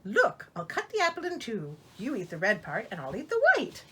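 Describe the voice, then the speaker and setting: A woman's voice: mid-range voice, huge pitch swings, normal speed, a bit bright, slightly rough, exaggerated voice. A woman voicing a sly old peddler woman in a storybook, coaxing and falsely kind. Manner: coaxing sales patter.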